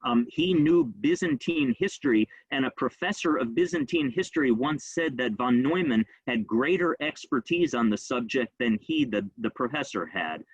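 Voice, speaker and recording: A man giving an informal history talk, speaking quickly and conversationally with frequent ums and uhs. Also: mid-range voice, expressive, husky, no echo, low-quality recording. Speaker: rabbi giving a history lecture.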